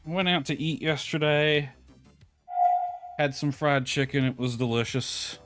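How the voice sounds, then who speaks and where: A man's voice: a little high, fairly even intonation, measured pace, over music. A man chatting casually on a gameplay livestream, thinking aloud as he plays. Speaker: game streamer. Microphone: headset.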